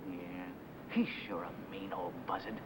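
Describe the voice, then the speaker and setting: An actor playing a casual garage coworker, in dialogue from an old crime film. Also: male voice, sits low, steady tone, moderate pace, close to an everyday voice. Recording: noisy old 1950 film soundtrack.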